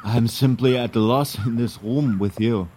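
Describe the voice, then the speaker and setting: An actor playing a wry, hard-drinking Prussian immigrant with a German accent in a historical drama, glib and sardonic. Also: male voice, mid-range voice, normal speed, mellow, a little hiss or hum, lightly acted. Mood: weary, wry.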